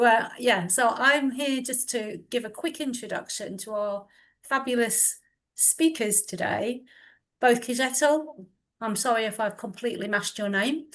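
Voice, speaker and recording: A woman's voice: on the high side, a bit bright. A woman with an English accent hosting an online session, introducing speakers in a chatty, self-deprecating way and reading from notes. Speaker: host. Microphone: computer mic on a video call.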